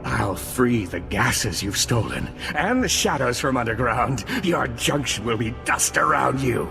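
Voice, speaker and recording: A gravelly, ominous madman. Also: medium pitch, animated, a little slow. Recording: long hall reverb with music.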